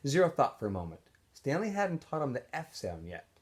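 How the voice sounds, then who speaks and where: A man narrates a storybook aloud with lively, swooping intonation. He sounds like a teacher reading to students and throws himself into the dialogue. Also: medium pitch, fairly quick. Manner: expressive, acts out dialogue.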